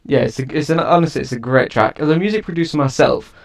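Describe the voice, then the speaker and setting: A man's voice: on the high side, quick pace. Rambling casual male music reviewer. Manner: rambling, restarts sentences often.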